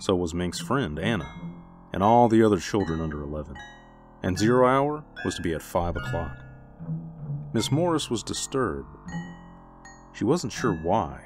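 Guy narrating a suspense radio play, low voice, music behind him. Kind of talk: scripted radio drama narration.

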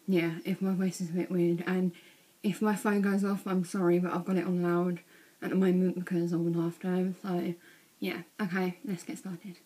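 A teenage girl, chatting casually in a vlog from her bedroom, a bit croaky from being ill, with lots of ums. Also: lowish voice, level tone, moderate pace, warm tone, a little hiss or hum, poor audio. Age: teens. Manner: chatty, rambling with many ums. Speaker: vlogger. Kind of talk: casual vlog showing bag contents. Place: small bedroom indoors.

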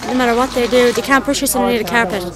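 A woman's voice: a little high, steady tone, rapid-fire. A woman in her thirties speaking emphatically in an outdoor interview, repeating phrases as she goes. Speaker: resident interviewee. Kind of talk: spontaneous interview talk. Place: outdoors at night. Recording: outdoor crowd noise behind.

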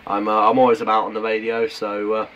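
A man's voice: fairly low pitch, completely flat delivery, a bit bright. British man chatting on CB radio, noisy background. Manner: halting, matey, uses radio jargon. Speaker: amateur CB radio operator. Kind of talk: casual two-way radio chat. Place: at home beside a CB radio set. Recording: noisy room with radio hiss.

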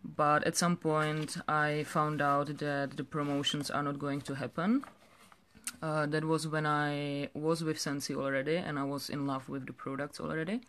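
A young woman with a Czech accent tells her personal story off the cuff, halting and repeating words. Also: deep voice, level tone, fairly bright tone, a little hiss or hum. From Czech Republic. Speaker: direct sales director telling her story. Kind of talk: unscripted personal story to camera. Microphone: phone or webcam held close.

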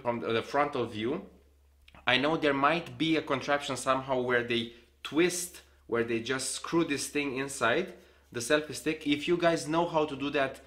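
Young man, bright tense voice, casual tutorial, small home room, desk camera. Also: medium pitch. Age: young adult. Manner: explains hands-on, demonstrating gear while talking. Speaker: vlogger. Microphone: camera on a desk, facing him.

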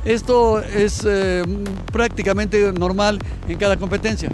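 A man's voice: high-pitched, fairly even intonation, unhurried. A middle-aged man with a Mexican Spanish accent hosting a TV sports interview outdoors with a handheld mic, enthusiastic and exclamatory. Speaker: host. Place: outdoors by a fountain. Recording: music bed under voices.

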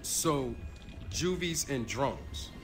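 An actor voicing an excitable young male soldier in a video game, urgent and incredulous. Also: mid-range voice, extremely slow, far from the mic. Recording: game dialogue under loud music.

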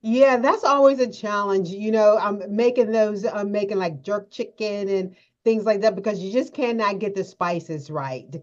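A woman hosting a TV interview in a studio, chatty and quick to laugh. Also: mid-range voice, muffled recording. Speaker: TV show host.

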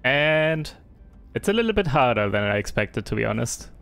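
A man's voice: mid-range voice, very animated, moderate pace, vocal fry, a bit bright, soft background music. A young man with a German accent chatting casually through a live game stream, reading and answering viewer chat with frequent hesitations.

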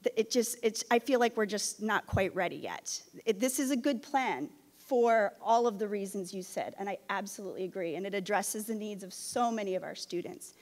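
A woman's voice: mid-range voice, moderate pace, hardly any pauses, a little mellow. A woman school board member speaking in a worried, heartfelt way at a desk microphone in a boardroom. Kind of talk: statement at a board meeting. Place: boardroom, indoors. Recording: boardroom with long reverb.

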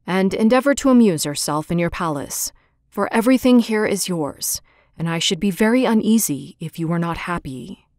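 Storybook beast, male, humble and sorrowful, played by a woman narrator, low and whispery, dry close sound. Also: lively intonation, moderate pace, a little mellow, dry recording, close to an everyday voice. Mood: mournful. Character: humble, mournful male beast, gentle and sad.

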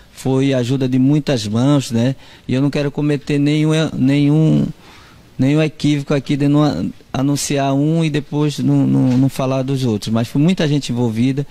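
Mellow northern Brazilian man giving interview. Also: medium pitch. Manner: repetitive, frequent tag questions. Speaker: fishers' association president. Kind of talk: interview answer. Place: indoor meeting room. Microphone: handheld reporter microphone.

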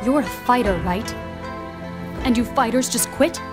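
Woman acting a bold, high-voiced young warrior woman. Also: a little slow, music in the background, natural voice. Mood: earnest urging. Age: young adult. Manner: brash, challenging. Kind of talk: English video game dub acting.

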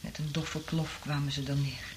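An actress in a radio drama playing a traumatised woman survivor, half-mad from isolation, speaking in an anguished, halting, dramatic way. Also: low-pitched, flat delivery, noisy background, lightly acted. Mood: distraught, half-mad.

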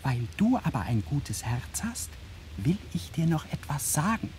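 A woman's voice: sits low, very animated, a little slow, warm tone, a little hiss or hum. A woman in a German radio play, playing a tiny kindly king of a single day, confiding and solemn in storybook fashion. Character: tiny kindly February day-king, youngest of twenty-eight brothers.

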